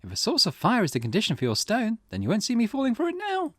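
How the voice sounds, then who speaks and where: A man's voice: high voice, wildly swooping pitch, fast, fairly bright tone, no room sound, studio quality, pitched above the performer's own voice, heavily acted. A man voicing a brash, confrontational young woman in a visual novel, taunting and bluntly pressing with pointed questions. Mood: taunting, triumphant.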